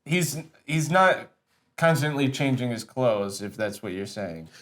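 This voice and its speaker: A man being interviewed as an animation writer, deadpan and condescending, pushing back combatively in a comic interview. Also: medium pitch, unhurried. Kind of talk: deadpan improvised comedy interview.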